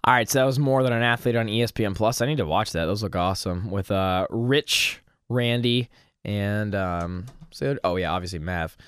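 Slightly hoarse man hosting sports chat. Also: lowish voice, normal speed, no room sound, studio quality. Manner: animated, joking, with frequent fillers. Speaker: sports podcast co-host.

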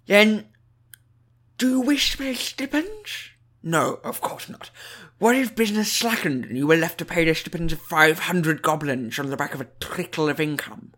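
A wily goblin banker from a fantasy audiobook, voiced by a man. He plays meek and agreeable, putting his questions in a halting, deferential way. The manner is servile and wheedling. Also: a little high, expressive, fairly bright tone. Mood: meekly wheedling. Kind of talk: audiobook character dialogue.

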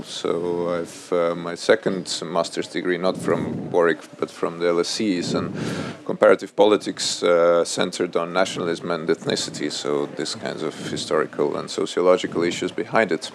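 A man in his thirties whose first language is Russian, speaking on a panel at a public event, halting and full of fillers and restarts. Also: low voice, little pitch movement, vocal fry, a little hiss or hum. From Estonia. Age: 30s. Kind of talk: panel discussion. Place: event hall before an audience.

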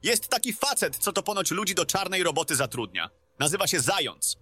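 A man's voice: sits high, very animated, fairly quick, sharp tone, husky, faint music behind, heavily acted. A man playing an ingratiating, cagey tavern keeper in a fantasy audio drama.

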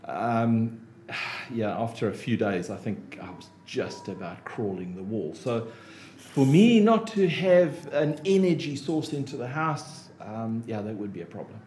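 South African man, casual podcast guest, relaxed and chatty, roomy recording. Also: mid-range voice, measured pace. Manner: relaxed, chatty, with frequent ums and laughs. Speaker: interview guest, motivational speaker and trainer. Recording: echoey room, faint noise.

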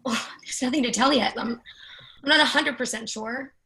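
A woman acting as a distraught, confiding young friend in a play reading, speaking hesitantly and haltingly. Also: on the high side, vocal fry, bright, forceful tone, poor audio. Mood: hesitant, worried. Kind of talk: readers-theatre drama acting.

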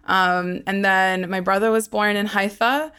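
A woman's voice: mid-range voice, steady tone, a little slow, a bit bright, clean tone. A young woman who hosts a podcast, talking easily about her life and laughing often. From Australia. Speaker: podcast host. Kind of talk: conversational interview.

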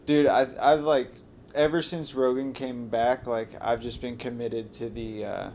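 A man's voice: medium pitch, fairly even intonation, measured pace, a little mellow, a little hiss or hum. A young man talking casually and animatedly as a podcast co-host, full of slang and swearing. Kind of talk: casual chat.

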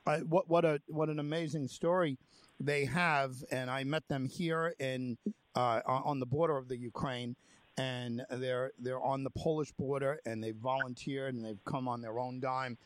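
A man's voice: medium pitch, level tone, moderate pace, dry recording. A man hosting an interview, talking in a rambling way with many fillers. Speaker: host. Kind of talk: casual interview. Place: near the Polish border.

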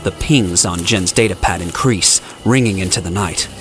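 A man's voice: sits low, moderate pace, over music. A man narrating an audio drama in a scripted, dramatic storytelling style.

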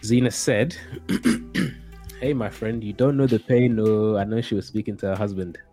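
Low-pitched man hosting casually. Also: steady tone, unhurried, loud music behind the voice. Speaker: host reading viewer comments. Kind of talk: casual hosted discussion.

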